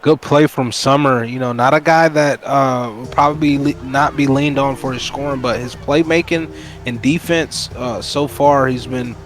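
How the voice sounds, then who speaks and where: A man's voice: mid-range voice, steady tone, normal speed. A man giving color commentary on a simulated basketball stream in a laid-back way, breaking down players.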